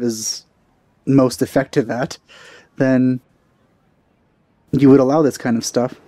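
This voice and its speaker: A man's voice: medium pitch, warm tone, dry recording. A man giving casual gameplay commentary, musing aloud and trailing off as he thinks. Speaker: gaming video maker.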